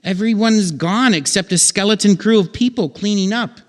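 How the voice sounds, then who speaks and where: A man's voice: sits high, normal speed, natural voice. A man performing a theatrical storyteller and baffled ice angler in an old-time radio comedy sketch on stage. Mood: dramatic narrating. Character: animated second-person narrator playing a baffled, exasperated ice angler. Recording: reverberant theater hall.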